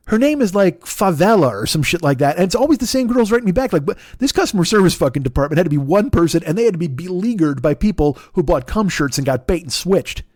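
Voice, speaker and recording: A man's voice: high-pitched, darkish tone, dry recording, studio quality. A man in his forties hosting a podcast, telling a comic story in a rambling, profane, exasperated way. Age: 40s. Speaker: podcast host. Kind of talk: comedic storytelling monologue.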